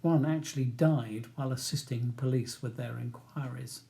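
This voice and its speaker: A man's voice: medium pitch, fairly even intonation, moderate pace, creaky, dark tone. A man reading his own novel as scripted audiobook narration.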